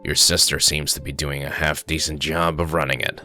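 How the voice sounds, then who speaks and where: A man playing a gruff, sardonic sheriff with a dry, grumbling manner in a comic dub. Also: very deep voice, little pitch movement, normal speed, bright, forceful tone, soft background music, full character voice. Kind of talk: comic dub character acting.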